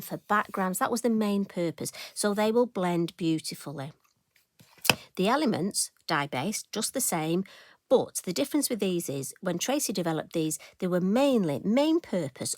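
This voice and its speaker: A woman talks through her craft project in a casual, homely chat full of asides. Her voice sits a little low and sounds croaky. The recording is dry and close, with no room sound. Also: no room sound. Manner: chatty, homely, rambling asides. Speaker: craft demonstrator.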